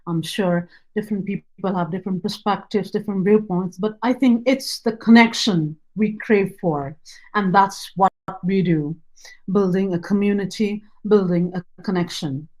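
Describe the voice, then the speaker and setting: A woman from Nepal, a nonprofit founder whose first language is Nepali, giving an earnest pitch presentation with occasional fillers and restarts. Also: normal speed, darkish tone, rough recording.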